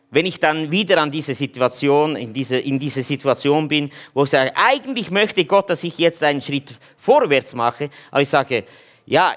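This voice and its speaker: A man's voice: fairly high pitch, a bit bright. A man giving an informal sermon in a conversational way, hesitating often with fillers. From German-speaking region. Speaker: preacher.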